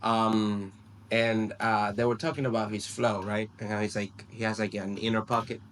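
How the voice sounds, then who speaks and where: A man with a lowish voice talks casually as a rapper on a podcast panel. Some noise sits behind him. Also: little pitch movement, normal speed, a bit bright. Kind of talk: casual chat.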